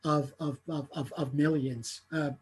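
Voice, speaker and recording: A middle-aged man gives an earnest, carefully prepared lecture, pausing often on fillers and repeated words. His voice sits a little high for a man and has a husky, slightly breathy edge. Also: flat delivery. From Iran. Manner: halting, many filler sounds and restarts, earnest. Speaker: theatre artistic director giving a lecture.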